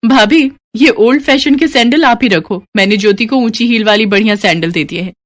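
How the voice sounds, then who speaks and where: A woman acts out a lazy, haughty young sister-in-law from the city. She is dismissive and scheming, peppering her talk with English words in animated storybook style. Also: a little high, fairly quick, voice pushed up, close to an everyday voice. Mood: smug, persuasive. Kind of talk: animated moral story character dialogue.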